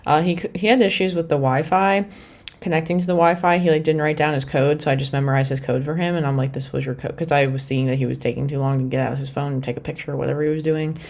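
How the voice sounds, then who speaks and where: A woman's voice: low voice, fairly even intonation, fast, a little mellow. A young woman from the United States rambling casually in a travel vlog, full of fillers like "like" and "you know". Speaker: vlogger.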